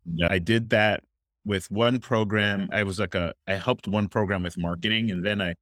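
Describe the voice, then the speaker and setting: A very low-pitched young man talks through his career in an earnest, easygoing interview manner. The sound is close and dry, with no room around it. Now and then he pauses with a filler before going on. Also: fairly even intonation, a bit bright, no room sound. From Miami, Florida. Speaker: guest, childcare company founder. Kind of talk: conversational interview answers.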